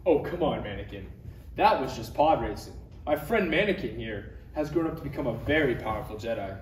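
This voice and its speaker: A man's voice: medium pitch, animated, brisk, natural voice. Man reading wry Jedi master, echoey distant room. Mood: wry, friendly. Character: calm, wry older Jedi master and mentor. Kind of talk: parody script table read. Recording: echoey, noisy room recorded from far off.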